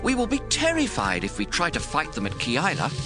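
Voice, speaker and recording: A man's voice: fairly high pitch, wildly swooping pitch, a little fast, over music. An actor playing an anxious soldier follower warning his leader emphatically in a scripture audio drama.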